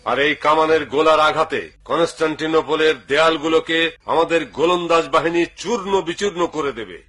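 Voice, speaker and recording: A man's voice: fairly high pitch, fairly even intonation, fairly quick, fairly bright tone. A man voicing a commanding young sultan. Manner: firm, declamatory. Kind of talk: Bangla cartoon dubbing.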